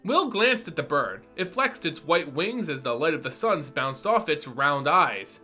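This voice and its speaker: A man narrates a fantasy tale in a dramatic style. His voice is fairly high, bright and animated, set over faint music. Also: a little slow. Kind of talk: dramatic story reading.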